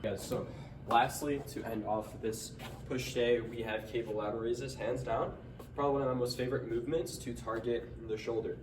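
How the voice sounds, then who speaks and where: A man's voice: medium pitch, steady tone, moderate pace, very noisy. A young man with a stuffy nose giving casual workout tips in a gym, sometimes tripping over his words. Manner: trips over words, strains between sets. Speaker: fitness vlogger. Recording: echoey gym from far off.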